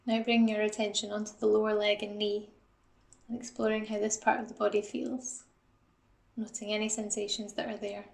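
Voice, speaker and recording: A woman guiding a mindfulness body scan in a calm, gentle, instructional manner, like a psychologist leading an exercise. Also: medium pitch, moderate pace, gravelly, echoey room. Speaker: assistant psychologist guiding a meditation.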